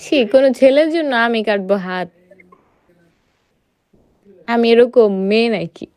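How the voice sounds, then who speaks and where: A woman's voice: fairly high pitch, expressive, unhurried, a little mellow, clear, resonant tone, no echo. A young woman with a Bangladeshi accent in a casual phone chat, mixing in some English. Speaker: prank caller.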